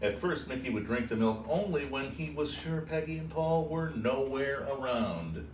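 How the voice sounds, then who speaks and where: Male storybook reader, expressive delivery with informal asides; mid-pitched, roomy sound with faint noise. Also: normal speed. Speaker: host reading a children's book aloud. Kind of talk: expressive storybook read-aloud with casual asides. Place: animal shelter room with kittens. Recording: echoey room, distant mic, faint noise.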